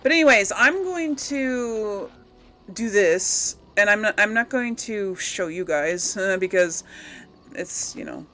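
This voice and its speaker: A woman's voice: medium pitch, expressive, takes it slowly, pushed and bright, slight background noise. A woman hosting a casual let's play, talking to viewers in a rambling, hesitant, giggly way. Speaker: gaming video host. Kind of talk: casual let's play commentary with role-played replies.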